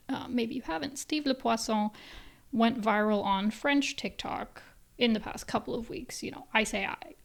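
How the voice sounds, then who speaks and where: Tired, rambling woman, soft and low-key. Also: gravelly. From Canada. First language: English. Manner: rambling, self-interrupting, soft and low-key, drifting into whispery asides. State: tired, with a migraine. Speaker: podcast host. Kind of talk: casual solo podcast chat.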